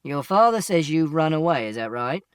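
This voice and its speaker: A narrator voicing a calm, firm male policeman in an audiobook. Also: medium pitch, normal speed, smooth, no echo, clean studio sound, distant mic, lightly acted. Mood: questioning. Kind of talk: audiobook character acting.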